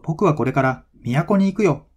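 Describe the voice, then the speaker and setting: A man's voice: fairly high pitch, lively intonation, moderate pace, darkish tone, breathy edge, voice pushed up. A man voicing an eager, thumb-sized fifteen-year-old boy adventurer in a storybook tale, speaking earnestly. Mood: eager, determined.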